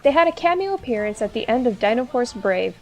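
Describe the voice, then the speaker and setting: A woman's voice: fairly high pitch, big pitch swings, quick pace. A woman reading scripted narration as a guest voice in an explainer video. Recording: music bed under the voice. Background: background music.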